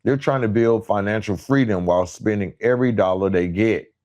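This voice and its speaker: Low-voiced man giving motivational talk. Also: fairly quick, darkish tone, dull audio. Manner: emphatic, rhetorical, repeats parallel phrases. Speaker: podcast host. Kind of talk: motivational monologue, direct address to listeners.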